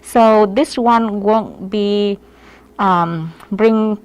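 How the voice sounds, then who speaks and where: A woman's voice: medium pitch, extremely slow, a little mellow, low-quality recording. A woman from Vietnam with a Vietnamese accent, casually explaining her craft on a live stream in somewhat hesitant, non-native English phrasing. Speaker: lash artist giving a demonstration.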